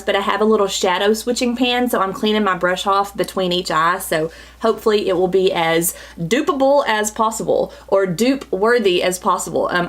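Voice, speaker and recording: A woman, chatting bubbly through a makeup tutorial while her voice is scratchy from a cold. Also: mid-range voice. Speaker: beauty vlogger. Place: small home studio, indoors.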